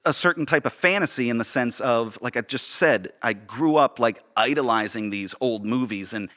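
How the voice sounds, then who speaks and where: A man with an American accent and a bright, forward voice. He tells stories in a loose, conversational style, animated and happy to poke fun at himself. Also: mid-range voice, moderate pace. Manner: animated, self-deprecating, uses quoted dialogue. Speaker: podcast guest and theater director. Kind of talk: casual conversational storytelling.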